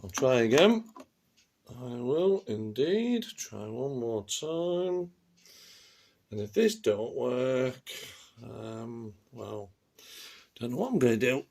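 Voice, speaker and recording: A man talks slowly through a hands-on task in an informal home-video style. His delivery is hesitant and unscripted, often dropping into muttering to himself as he works with fiddly little parts. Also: mid-range voice, expressive, vocal fry, a little mellow. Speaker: amateur video maker demonstrating a stylus replacement. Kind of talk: casual unscripted how-to narration to camera.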